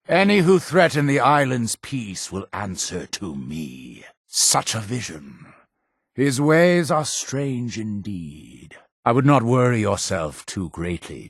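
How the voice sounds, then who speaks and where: Grandiose zealous cult leader, widely swooping pitch. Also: male voice, creaky, husky, dry recording, studio quality, full character voice. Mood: measured, guarded. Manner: grandiose, solemn, preacherly delivery. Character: zealous male cult leader, imperious, pious, preaching to his flock. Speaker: video game voice actor. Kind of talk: theatrical video game character dialogue.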